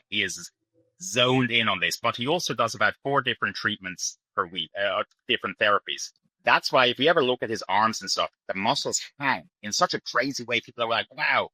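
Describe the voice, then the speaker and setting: Podcast guest, male, mixed accent with Irish influence; low-pitched but very bright, forceful delivery, earnest and emphatic. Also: dry recording, rough recording. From Ireland. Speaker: podcast guest, bodybuilding coach. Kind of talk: conversational interview explanation.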